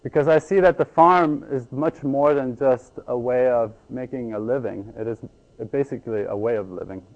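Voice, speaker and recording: A man from Ecuador giving a conference talk as a farmer, halting with many ums and restarts. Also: mid-range voice, lo-fi sound.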